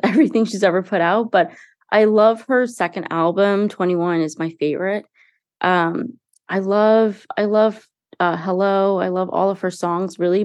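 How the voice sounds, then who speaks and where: A woman's voice: mid-range voice, a little mellow, no echo, clean studio sound. A young woman, a singer-songwriter, talking earnestly and casually about her music in an interview, with frequent fillers and restarts. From United States. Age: young adult.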